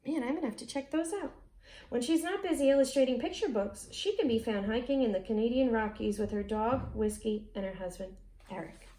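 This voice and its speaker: An American woman with a high-pitched voice hosts a read-aloud for children. She reads in an expressive storybook style and slips into chatty asides, speaking directly to her young audience.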